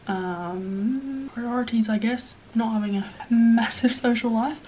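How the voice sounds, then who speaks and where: A woman in her early twenties with an Australian accent, talking casually to camera with a stuffed-up, nasal voice, rambling and self-deprecating, sometimes dropping to a soft whisper. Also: on the high side, slow pace, slight background noise. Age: 20s. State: sniffly, stuffed-up nose. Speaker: vlogger.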